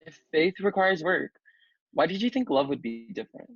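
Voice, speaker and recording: A man acting a guarded, gentle, philosophical young guy in a table read, on a dull home mic. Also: on the high side, animated, moderate pace, creaky, slightly rough, no echo, exaggerated voice. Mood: gently guarded.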